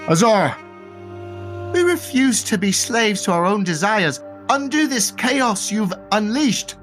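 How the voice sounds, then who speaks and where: A man doing a hammy high-voiced stage player. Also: very animated, slow pace, a bit bright, faint music behind, exaggerated voice. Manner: hammy, exclamatory.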